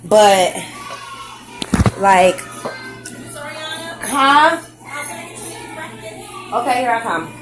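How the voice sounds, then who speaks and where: A young woman, chatting excitedly on a live stream, full of slang and "like"s and "um"s. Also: medium pitch, very slow, pauses often, music in the background, poor audio. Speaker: vlogger. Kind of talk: casual facebook live chat.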